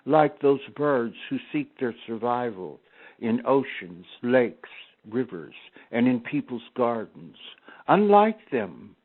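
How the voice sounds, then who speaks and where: A man reading his own poem in a measured, reflective way, on a tinny, narrow-sounding recording. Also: mid-range voice. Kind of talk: scripted poetry recitation.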